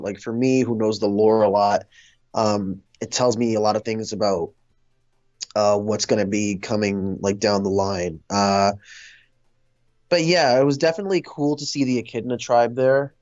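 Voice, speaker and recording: A man with a lowish voice hosts a casual film chat. He speaks hesitantly, feeling his way through sentences. The sound is very dry and a little lo-fi. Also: fairly even intonation, a little mellow, no echo. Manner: hesitant, halting delivery. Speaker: film discussion host.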